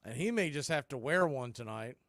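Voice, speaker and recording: A man calling baseball play-by-play as a radio announcer, in clipped, folksy phrases that range from quiet to excited. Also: mid-range voice, a little fast, no echo, clean studio sound. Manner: swings from hushed calls to excited shouts. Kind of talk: live radio play-by-play commentary.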